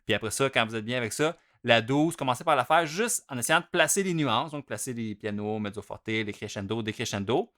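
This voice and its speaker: A young man with a Québécois French accent teaches drumming in an easygoing, conversational way, his voice sitting at an ordinary middle pitch. He chants rhythm names aloud, pushing the accented notes hard and dropping the others down soft. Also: brisk, few pauses. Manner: chatty and relaxed, chants note rhythms aloud with strong loud-soft contrasts. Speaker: drum teacher. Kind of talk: casual instructional explanation.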